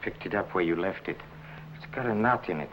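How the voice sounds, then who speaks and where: A man in a 1950 film noir playing a sly, oily blackmailing businessman, halting and repeating himself with mock friendliness. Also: low-pitched, dull audio, lightly acted. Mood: veiled menace. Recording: noisy old film soundtrack.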